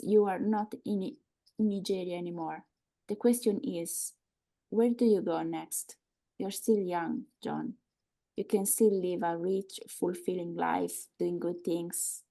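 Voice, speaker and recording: Smug government agent in nurse guise, played by a woman: unhurried, mellow, gravelly edge, condescending table-read delivery. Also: lowish voice, heavily acted. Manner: deliberate, condescending, mock-soothing.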